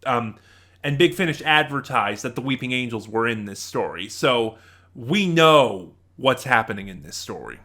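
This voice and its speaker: A man giving a casual spoken review, talking in a rambling, halting way and often backtracking to rephrase himself. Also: mid-range voice, expressive, sharp tone. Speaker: reviewer, channel host.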